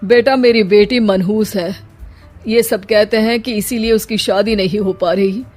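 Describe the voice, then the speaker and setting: A woman's voice: mid-range voice, fairly even intonation, measured pace, a little mellow, faint music behind, lightly acted. A woman voicing a frustrated middle-aged father in a cartoon story, blunt, bitter and complaining. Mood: weary, resigned.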